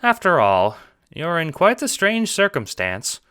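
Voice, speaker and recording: Man putting on a high-voiced, fussy old grandma. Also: wildly swooping pitch, measured pace, sharp tone, a little hiss or hum, exaggerated voice. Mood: doting. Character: doting, jovial, flustered elderly grandmother.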